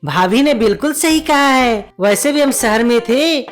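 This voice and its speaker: A sensible, concerned bird husband in a moral-story cartoon, played by a woman, talks in a high, earnest voice. Music plays clearly behind the words. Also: animated, measured pace.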